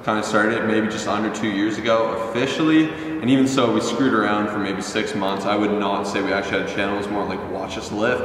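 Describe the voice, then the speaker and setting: Animated young man in an echoey gym. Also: fairly low pitch, far from the mic. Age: 20s. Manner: animated storyteller, quick and joking. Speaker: fitness YouTuber. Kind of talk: casual Q&A vlog. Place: large indoor gym. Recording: echoey gym hall with steady hum.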